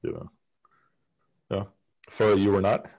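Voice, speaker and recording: A man's voice: on the low side, monotone, a little slow, a little mellow, highs cut off. A man leading a class discussion as professor over a video call, asking students questions in a casual, conversational way. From United States.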